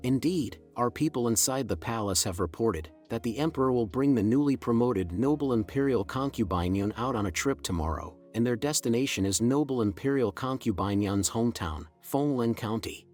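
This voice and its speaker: A man voices a cautious servant who speaks deferentially to his master. The voice is medium in pitch, over music in a comic-story dub. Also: lively intonation, moderate pace, few pauses, a little mellow, lightly acted. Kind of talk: dubbed comic-recap character dialogue.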